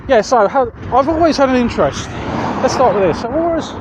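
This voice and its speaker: Male walking vlogger, southeast English, high-pitched, rambling and hesitant, handheld mic beside noisy roads. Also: expressive, a little fast. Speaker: vlog host. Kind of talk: casual chat to camera while walking. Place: outdoors walking along roads. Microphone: handheld external microphone. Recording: muffled outdoor street audio, loud traffic noise.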